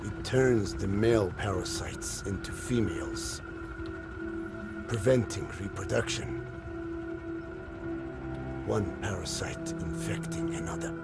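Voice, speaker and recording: A whispery, grave old scientist elder. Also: male voice, on the low side, lively intonation. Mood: grave explaining. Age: older. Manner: slow, grave, deliberate storytelling. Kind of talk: dramatic video game cutscene acting. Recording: loud music over the voice.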